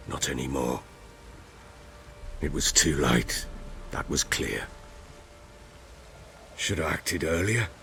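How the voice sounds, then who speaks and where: An actor playing a gruff, grieving, volatile middle-aged baron in a dramatic video game, brooding and blunt. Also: male voice, low voice, bright, forceful tone, slight background noise, exaggerated voice. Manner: brooding, blunt, agitated when pressed.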